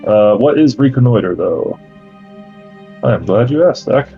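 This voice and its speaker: A man acting as game master, reading out campaign lore and then explaining the travel rules in a relaxed way with many fillers. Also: medium pitch, moderate pace, muffled recording, soft background music.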